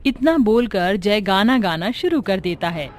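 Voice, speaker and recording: Female narrator, scripted storytelling, medium pitch, clear background music. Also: expressive, a little slow.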